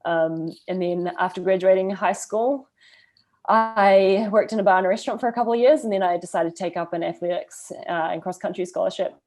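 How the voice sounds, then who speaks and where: A woman's voice: mid-range voice, fairly even intonation, fairly quick, a little mellow. A young adult woman with a New Zealand accent, chatting casually as an interview guest, with frequent ums and uhs. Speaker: guest, trail runner.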